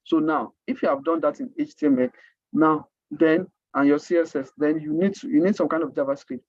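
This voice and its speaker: A man with a Nigerian accent and Yoruba as his native language, mentoring a class in an informal, halting way, repeating himself and asking rhetorical questions. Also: a little high, animated, dry recording, dull audio. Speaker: coding mentor. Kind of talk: informal online teaching.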